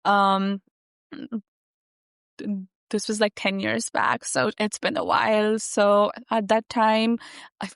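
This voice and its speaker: A young adult woman who stutters, speaking haltingly with many fillers as an interview guest. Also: medium pitch, level tone, creaky, clean tone, no room sound, clean studio sound. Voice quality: stutter with repeated sounds and blocks. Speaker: guest, software engineer. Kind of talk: conversational interview.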